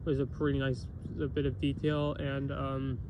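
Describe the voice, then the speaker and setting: A man's voice: mid-range voice, flat delivery, normal speed, noisy background. A man with a nasal voice and a cough, giving a casual spoken review and rambling through many restarts and self-corrections. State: has a cough.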